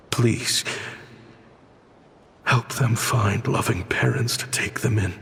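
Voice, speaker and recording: An actor playing a grim, weary, remorseful guardian in a video game, speaking in a halting, brooding way. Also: male voice, fairly low pitch, gravelly, fairly bright tone, slight background noise, heavily acted. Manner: slow, halting, brooding delivery. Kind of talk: dramatic video game voice acting.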